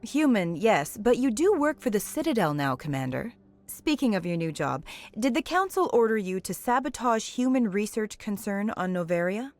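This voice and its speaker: A woman's voice: medium pitch, very animated, moderate pace, darkish tone, faint music behind, close to an everyday voice. A woman playing a probing television reporter in a science fiction video game, polished and insinuating. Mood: probing, pointed. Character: probing, pointed female television news reporter. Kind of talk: cinematic game voice acting.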